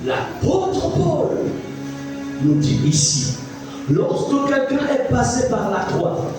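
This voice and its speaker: A man's voice: very high-pitched, very animated, slow. A pastor in his fifties preaching a sermon, emphatic, repeating phrases and putting questions to the congregation. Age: 50s. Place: church. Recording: echoey church sound from a distant mic with music.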